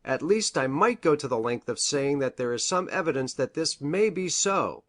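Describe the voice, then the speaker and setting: A man playing an earnest, anxious country doctor in a Victorian detective story, speaking formally and deliberately. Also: on the high side, animated, fairly bright tone. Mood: earnest, worried. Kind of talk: dramatised reading of a Victorian detective novel.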